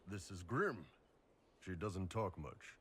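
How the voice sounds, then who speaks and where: An actor playing an easygoing mercenary captain in a video game, talking bluntly and casually. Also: male voice, sits low, animated, takes it slowly, a little hiss or hum. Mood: blunt banter. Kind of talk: scripted video game dialogue.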